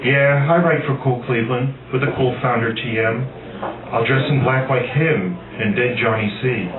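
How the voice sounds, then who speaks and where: A man's voice: medium pitch, normal speed, background noise. A man reading his own poems live at an open mic, with dramatic, emphatic delivery and wry asides to the audience. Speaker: amateur poet reading own work at a poetry night. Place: busy cafe open-mic room. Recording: tinny phone-like sound, distant, echoey, loud crowd. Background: loud audience chatter.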